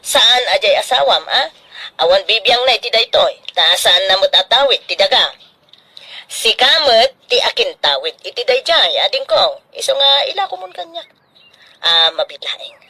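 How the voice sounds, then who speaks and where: A scheming Ilocano in-law in a radio drama. Her voice is rather high, sharp and very animated. She wheedles and exclaims, domineering over whoever she talks to. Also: moderate pace, full character voice, low-quality recording. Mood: pushy, domineering. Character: pushy, scheming older sister-in-law pressing to buy land.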